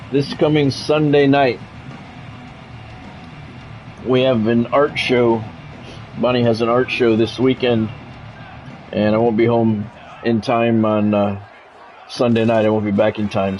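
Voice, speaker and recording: A man's voice: medium pitch, a little mellow, slight background noise, low-quality recording. A man streaming a sports game, mixing radio-style play-by-play with casual chat.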